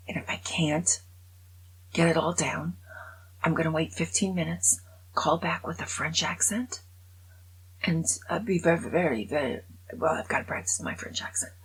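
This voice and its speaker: Woman in her seventies, low raspy voice, gravelly, casual rambling vlog chat. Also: moderate pace, in a whisper, low-quality recording. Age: 70s. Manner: chatty, rambling, self-mocking humor. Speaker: vlogger. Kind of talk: casual monologue to camera.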